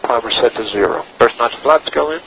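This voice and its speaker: A man's voice: medium pitch, a little fast, a bit bright. Male pilot on a noisy cockpit headset. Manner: talks himself through checks in short phrases. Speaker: private pilot. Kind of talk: radio calls and cockpit self-briefing. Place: inside a small propeller plane in flight. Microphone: aviation headset. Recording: noisy cockpit intercom with engine drone.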